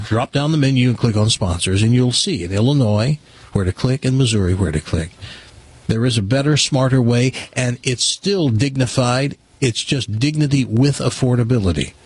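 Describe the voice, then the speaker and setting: A man's voice: medium pitch, brisk, darkish tone, breathy edge, dry recording. An American man hosting a radio talk show in a casual, rambling, conversational way, with some joking. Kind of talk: casual live radio talk with ad reads and caller chat.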